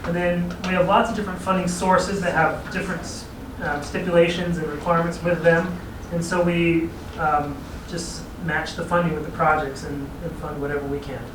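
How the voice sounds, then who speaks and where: Man briefing a council, slightly high voice. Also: little pitch movement, normal speed, hardly any pauses. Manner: explanatory, easygoing, chuckles at own asides. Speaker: regional transportation planning staff presenter. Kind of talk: informal briefing to a city council. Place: city council chamber. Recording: echoey chamber, distant mic, clear background noise.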